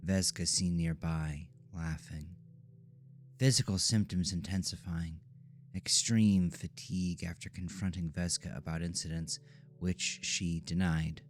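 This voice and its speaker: A man with an extremely deep, creaky voice narrates a horror audio drama. He takes his time, with a measured and ominous storytelling delivery at a slow pace. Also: fairly even intonation, soft background music.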